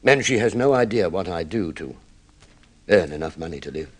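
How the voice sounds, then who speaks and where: Low-voiced proud, impoverished husband. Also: male voice, lively intonation, normal speed, husky. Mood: weary, grave. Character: proud, impoverished husband putting on a brave front. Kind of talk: old-time radio drama acting.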